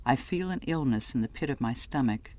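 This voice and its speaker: A woman reading scripted first-person literary fiction as an audiobook narrator, with measured, dramatic phrasing. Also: rumbling low, expressive, warm tone.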